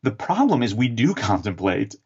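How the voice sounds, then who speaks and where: A man answering interview questions as an author and theologian, explaining in a measured, teacherly way with some fillers. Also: fairly low pitch, sing-song, darkish tone, husky, lo-fi sound.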